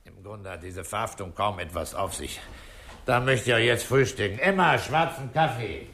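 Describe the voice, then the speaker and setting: A calm police inspector in an old radio drama. The voice sits low, and he gives orders and questions dryly, with clipped authority. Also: normal speed. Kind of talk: 1960s German radio drama acting.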